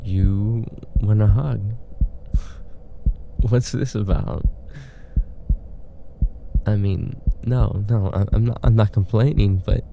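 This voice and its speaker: A man playing an obsessive stalker boyfriend in a dramatic roleplay audio, halting and pleading, with murmured endearments and nervous chuckles. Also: fairly low pitch, steady tone, gravelly, dark tone, slight background noise, poor audio. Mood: tender, flustered. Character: obsessive secret yandere stalker boyfriend, tender turning desperate.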